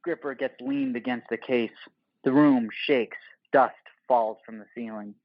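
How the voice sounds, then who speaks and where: A man's voice: mid-range voice, steady tone, measured pace, dry recording, muffled recording. A man narrating an audio play, reading stage directions in terse screenplay phrases.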